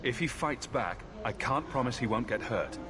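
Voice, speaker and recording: An actor playing a blunt, cynical fantasy mercenary hero in a video game, dry and stern. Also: male voice, mid-range voice, lively intonation, normal speed, natural voice. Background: clear music.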